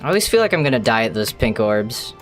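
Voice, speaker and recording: A man's voice: medium pitch, sing-song, fast talker, faint music behind. A man streaming a video game, talking casually to his chat in a chatty, rambling way with the odd swear. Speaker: gaming streamer. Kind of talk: casual live-stream commentary while playing.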